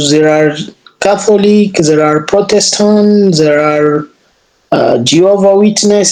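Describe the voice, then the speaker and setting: A young African man whose first language is Swahili talks slowly on a video call. He hesitates often, filling gaps and restarting his sentences as he practises conversation. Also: high voice, darkish tone, low-quality recording. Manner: hesitant, many fillers and restarts. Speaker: geologist. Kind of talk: casual language-practice chat.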